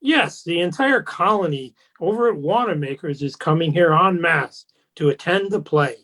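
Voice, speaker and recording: A man playing a suave, condescending gentleman in a radio play, speaking in a genteel, patronizing manner. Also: on the high side, expressive, normal speed.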